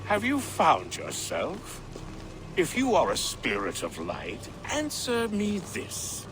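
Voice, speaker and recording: An actor playing a reverent, eccentric druid in a video game, speaking in a ceremonious, archaic, questioning way. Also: male voice, a little high, wildly swooping pitch, slow pace, over music, heavily acted, low-quality recording. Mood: solemn, invoking. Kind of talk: theatrical game voice acting.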